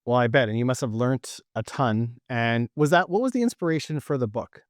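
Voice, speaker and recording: A male podcast host introduces his guest with eager enthusiasm and asks questions. His voice sits at an ordinary mid pitch. The sound is dry and close. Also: lively intonation, brisk, no room sound. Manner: enthusiastic, upbeat praise of the guest. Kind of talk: interview host chat.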